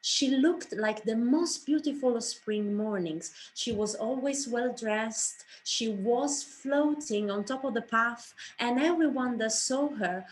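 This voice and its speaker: A woman with a high voice speaks English as a second language. She tells her tale like an oral storyteller, with expressive, theatrical delivery as part of a presentation. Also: takes it slowly, few pauses, a little hiss or hum, poor audio. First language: not English. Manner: theatrical, acting out dialogue. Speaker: speaker, writer and director.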